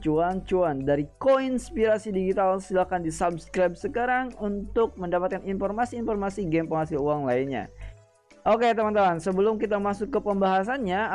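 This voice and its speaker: A man hosting a gaming channel, talking casually to his viewers, repeating himself and often pausing with hesitant fillers. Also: sits high, darkish tone. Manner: chatty, repetitive, frequent hesitant fillers. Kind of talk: casual explainer chat to viewers.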